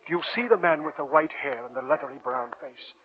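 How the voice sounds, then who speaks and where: An actor playing a grave older professor who gives ominous, emphatic warnings in a 1940s radio drama. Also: male voice, fairly high pitch, fast talker, lightly acted. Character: grave, foreboding older male professor warning his student. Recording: muffled old radio recording, faint noise.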